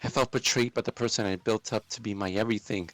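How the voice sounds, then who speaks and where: A man narrating a dramatic first-person story as a storyteller, in a deliberate, intense and emotive way. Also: fairly low pitch, steady tone, no room sound, soft background music.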